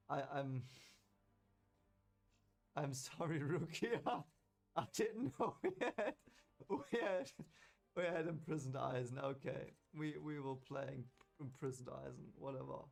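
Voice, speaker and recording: Male gamer, slightly high voice, excitable off-the-cuff play commentary with repeated words and mock threats. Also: vocal fry. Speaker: gaming video creator. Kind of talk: casual reactive gameplay commentary.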